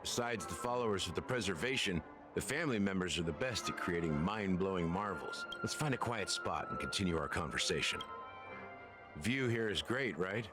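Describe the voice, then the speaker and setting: An actor playing a world-weary, sardonic man in a video game, telling history in a measured way. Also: deep voice, animated, over music. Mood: wry, explanatory. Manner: measured, storytelling. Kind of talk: video game dialogue acting.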